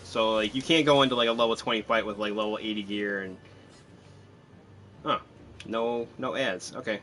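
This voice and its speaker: Bright-voiced man, low pitch, casual gamer. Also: fairly even intonation, moderate pace, slight background noise. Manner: chatty, self-deprecating, thinks aloud. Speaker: game streamer. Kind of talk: casual live gameplay commentary.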